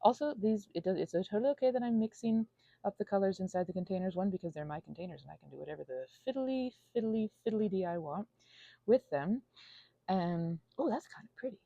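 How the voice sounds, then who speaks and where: Anxious, whimsical woman with creaky voice. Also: medium pitch, lively intonation, mellow, no echo, highs cut off. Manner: theatrical, playful whimsy; halting, tangent-prone. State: anxious, panicky; unwell. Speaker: ASMR channel host. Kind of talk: casual rambling vlog.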